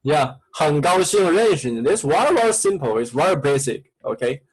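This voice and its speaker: A man's voice: on the high side, animated, a little fast, husky, low-quality recording. A man with a Chinese accent, a native Chinese speaker teaching an online class and coaxing students with repetitive prompts in broken English.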